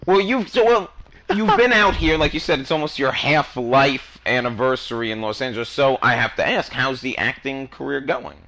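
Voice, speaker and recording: A man's voice: mid-range voice, normal speed, bright, forceful tone, a little hiss or hum. A man co-hosting a podcast, playfully asking a guest questions. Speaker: podcast co-host. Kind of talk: casual podcast interview.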